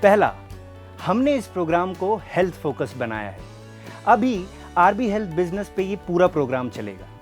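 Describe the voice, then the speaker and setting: A man's voice: high voice, big pitch swings, normal speed, soft background music. A man playing an earnest, persuasive sales rep, explaining things directly to the listener in a scripted training film. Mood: persuasive, polite. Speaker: presenter and sales representative. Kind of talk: scripted training film narration and role-play.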